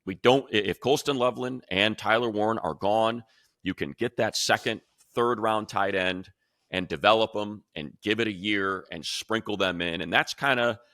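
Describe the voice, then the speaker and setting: Deep-voiced man talking sports, bright tone. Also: normal speed, dry recording, clean studio sound. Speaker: sports show host. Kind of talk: sports analysis talk.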